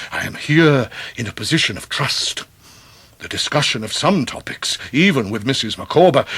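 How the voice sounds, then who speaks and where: An actor playing a pompous middle-aged Victorian clerk with flowery, grandiloquent English speech, in a radio drama. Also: male voice, medium pitch, fairly bright tone, no room sound. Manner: grandiloquent, theatrical, orotund.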